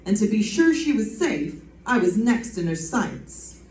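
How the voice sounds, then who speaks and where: Wisecracking female private eye, played by a woman for a vintage-style radio mystery; middle pitch, slangy and wry, distant echoey stage sound. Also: very animated, measured pace, a little mellow. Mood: wry, hard-boiled. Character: wisecracking hard-boiled female private detective. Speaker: radio drama performer. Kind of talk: live re-creation of old-time radio detective drama. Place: live stage performance in a reverberant room. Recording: echoey room, picked up from a distance.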